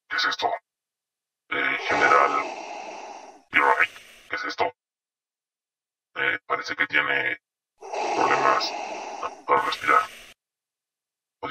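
A young man hosting his channel, joking and rambling while demoing a voice changer. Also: background noise. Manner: joking, rambling, silly insults. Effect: deep voice changer. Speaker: channel host. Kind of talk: playful voice-changer demo.

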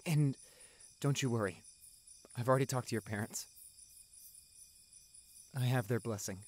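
A devoted young boyfriend played by a man in a romantic audio drama. He takes his time over every phrase. His delivery is soft, earnest and full of affection. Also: medium pitch, soft background music, natural voice. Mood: affectionate, relieved. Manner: soft, tender, earnest, unhurried. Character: tender, devoted young doctor boyfriend proposing to partner.

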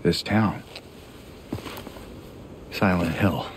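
An actor playing a troubled, gentle young man in a video game drama, with a hesitant, concerned, soft-spoken delivery. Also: low-pitched, extremely slow, noisy background. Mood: cautious, concerned. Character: troubled, gentle man searching a haunted town.

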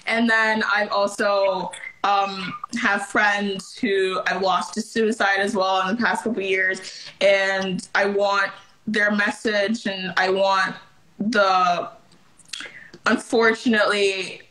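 A woman's voice: mid-range voice, flat delivery, takes it slowly, sharp tone, low-quality recording. Earnest young woman on a video call. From Nigeria. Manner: earnest, reflective, frequent "like" and "you know". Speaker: interviewer, network rep. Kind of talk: casual video-call conversation. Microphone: laptop camera on video call.

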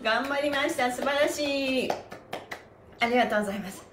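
A woman hosting a livestreamed exercise class, chatting to viewers in a cheerful, energetic, polite presenter manner. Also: high voice, fairly quick. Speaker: host of a livestreamed stretching class, pantomime performer. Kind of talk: upbeat exercise instruction and casual livestream chat to viewers. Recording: roomy echo, slight background noise.

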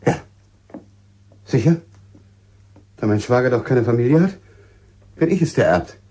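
An actor playing a cornered, greedy villain in a radio crime drama, agitated, indignant and defensive. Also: male voice, medium pitch, sing-song, unhurried, mellow. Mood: startled, defensive.

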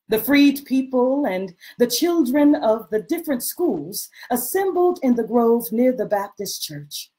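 A woman giving a measured, expressive dramatic reading of a literary text over a video call. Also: a little high. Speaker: reader performing a historical diary. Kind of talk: dramatic literary reading with some sung hymn.

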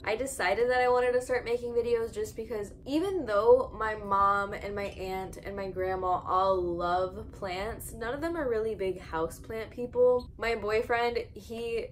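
A woman's voice: on the high side, a little slow, few pauses. A 21-year-old woman with an American accent, chatting casually and informally in a self-deprecating, rambling way as she vlogs from home. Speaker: houseplant vlogger. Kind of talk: casual rambling chat while repotting plants. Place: indoor room at home with plants. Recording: noticeable background noise, home video.

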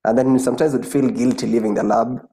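A man's voice: medium pitch, steady tone, quick pace, dark tone. A man hosting an interview over a video call, prompting his guest with short questions. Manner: brief prompts and affirmations. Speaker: host. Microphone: laptop webcam on video call.

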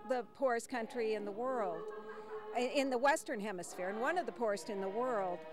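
A woman's voice: a little high, fairly quick, music in the background. A woman answering an interview in a reflective, sing-song way.

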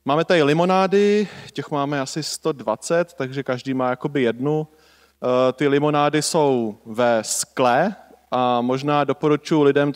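A man's voice: on the high side, clear, resonant tone. A man in his thirties hosting a conference, speaking into a handheld mic in a large lecture hall, loose and chatty with many fillers. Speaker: conference host. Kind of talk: casual welcome speech. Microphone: handheld microphone.

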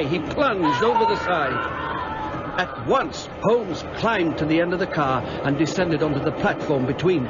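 An actor playing a British doctor who narrates his detective friend's adventure in formal, vivid radio drama style. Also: high voice. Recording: dull sound, clear background noise.

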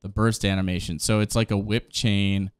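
A man's voice: lowish voice, little pitch movement, normal speed, clear, resonant tone, no room sound. A man in his thirties giving enthusiastic, slangy reaction commentary, casual, close on a desk microphone at home. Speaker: streamer and reaction host. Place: small home gaming room. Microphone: close desk microphone on boom arm. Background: music.

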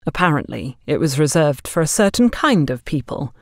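A woman narrating a scripted audio story in a measured, expressive storytelling manner. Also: sits low, no echo, studio quality.

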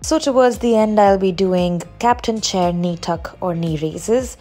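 A woman's voice: mid-range voice, unhurried. A young woman with an Indian accent, talking casually as a fitness vlogger. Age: young adult. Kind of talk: casual vlog narration. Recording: music behind the voice.

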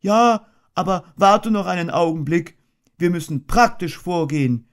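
Man voicing a little teddy bear, high and slow, earnest, muddled, lively sing-song storybook voice. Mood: fussy. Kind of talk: storybook reading.

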